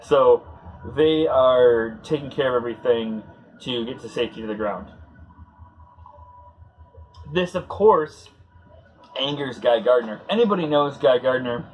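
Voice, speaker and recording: A man hosting a casual read-along commentary, talking in a halting, conversational way as he searches for words. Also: medium pitch, animated, highs cut off, slight background noise. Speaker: host.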